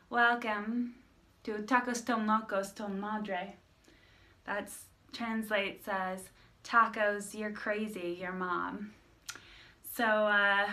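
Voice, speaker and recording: A woman's voice: medium pitch, pushed and bright. A woman voicing a young female cashier who rambles hesitantly while explaining the menu. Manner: hesitant, lots of ums.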